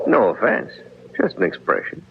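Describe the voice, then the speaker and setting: A shady saloon boss in an old radio western. His low voice is curt and condescending. The recording is thin and muffled, with clear noise. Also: exaggerated voice. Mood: guarded, wary. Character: haughty, shady middle-aged town gambling boss. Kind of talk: 1950s radio western acting.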